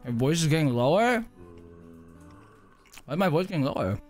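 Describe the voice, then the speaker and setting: A man's voice: a little high, sing-song, normal speed, darkish tone, faint music behind. Playful, laughing man streamer. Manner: playful, joking, laughs often. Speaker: streamer, host. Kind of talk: casual livestream chat.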